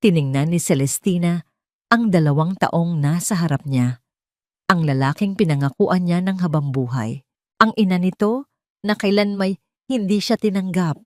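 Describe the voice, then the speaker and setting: A woman with a low voice delivers scripted, dramatic story narration. The recording is dry and close, with no room sound. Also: normal speed, a little mellow, no echo.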